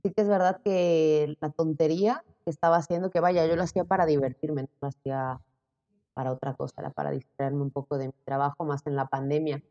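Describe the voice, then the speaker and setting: Guest, Mexican woman, late 20s, animated storyteller, low warm tone, echoey muffled recording. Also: a little fast. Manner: animated, laughing, quoting herself in lively bursts. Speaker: interview guest, content creator. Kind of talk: casual conversation. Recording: dull audio with long reverb.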